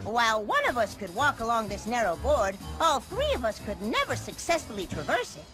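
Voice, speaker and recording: A man's voice: extremely high pitch, big pitch swings, fairly quick, music in the background. A man voicing a brainy, cautious cartoon boy in a video game, with precise, fussy, pedantic diction.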